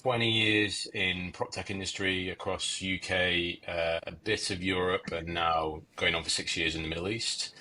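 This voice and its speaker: A man in his forties with an English accent, speaking on a podcast. His voice is very deep but has a forceful, bright edge. Faint room noise sits behind him. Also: steady tone, normal speed, hardly any pauses, low-quality recording. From England. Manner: dry, wry humor. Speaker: podcast co-host. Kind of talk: casual chat.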